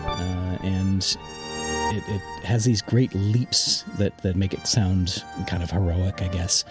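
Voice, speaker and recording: A man's voice: sits low, steady tone, slow. A man explaining his music in an interview as a composer, thoughtful and searching for words. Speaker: interviewed audio drama composer. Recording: loud synthesizer music behind the voice. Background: loud synthesizer music.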